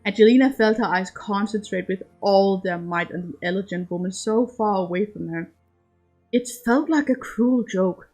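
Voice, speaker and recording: A woman's voice: medium pitch, moderate pace, a little mellow, slightly rough, faint music behind. A woman narrating a piece of fiction as an amateur audiobook-style reader. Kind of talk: scripted story narration.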